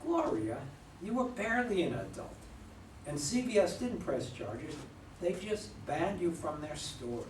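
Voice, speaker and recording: A man's voice: high voice, big pitch swings, unhurried. A man playing a dismissive, sarcastic husband who belittles his wife in a staged play reading. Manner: mocking, belittling. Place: room at a live readers' theater reading. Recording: echoey room, distant mic, faint noise.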